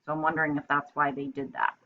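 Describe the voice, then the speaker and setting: A woman with a fairly low voice reads a children's story like a teacher. She thinks aloud in simple, instructive asides. The recording is slightly dull and lo-fi. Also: level tone, fast talker. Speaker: teacher reading aloud to pupils. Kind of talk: storybook narration with explanatory asides.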